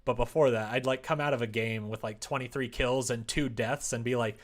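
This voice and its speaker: A man's voice: medium pitch, fairly even intonation, fairly quick. A man live-streaming, chatting casually and easily with viewers in a rambling way, reading out their comments as he goes.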